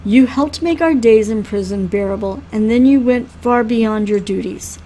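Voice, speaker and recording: A woman reading a visual novel aloud as a grave male healer, measured, earnest and stern but caring. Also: a little high, mellow, natural voice, low-quality recording. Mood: reassuring.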